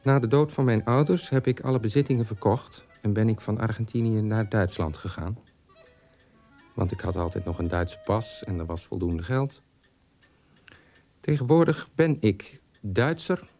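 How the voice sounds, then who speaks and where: A bitter, brooding man speaks in a radio play. His voice sits fairly low and has a soft, dark tone. He turns his self-loathing into questions to himself, with faint music behind him. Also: moderate pace. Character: bitter, self-loathing son of a Nazi war criminal.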